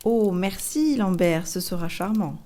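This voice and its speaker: A woman's voice: fairly low pitch, expressive, measured pace, warm tone, a little hiss or hum. A woman voicing a good-natured, sensible young boy in a storybook, plain-spoken and reasoning. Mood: curious, grateful.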